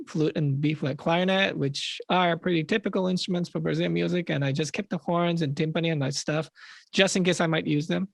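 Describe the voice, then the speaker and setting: A man's voice: fairly high pitch, fairly even intonation, fairly quick, vocal fry, no echo. A man casually explaining his work on a live panel in an informal, self-deprecating way. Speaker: panelist, orchestrator. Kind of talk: casual explanation on a video call.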